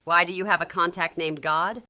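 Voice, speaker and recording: A woman's voice: on the low side, fairly even intonation, moderate pace, sharp tone. A woman playing an exasperated, skeptical wife in a horror audio drama. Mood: puzzled. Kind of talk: old-time radio style horror audio drama acting.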